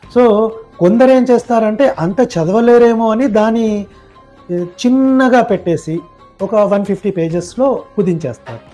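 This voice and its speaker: A man's voice: high-pitched, animated, moderate pace, mellow, soft background music. A man speaking as a podcast guest, explaining his opinions point by point in a didactic, conversational way.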